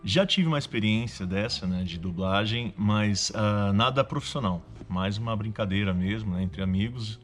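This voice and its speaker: A Brazilian man with a lowish voice talks casually in an interview. He comes across as modest and informal, an amateur reflecting on voice work. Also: normal speed, few pauses, faint music behind. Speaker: amateur voice actor.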